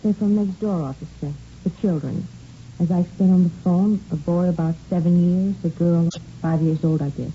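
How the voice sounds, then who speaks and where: An actress playing an earnest, concerned household cook with a French accent, speaking carefully and politely in a 1950s radio drama. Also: female voice, on the low side, normal speed, close to an everyday voice. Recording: muffled, noisy vintage radio recording.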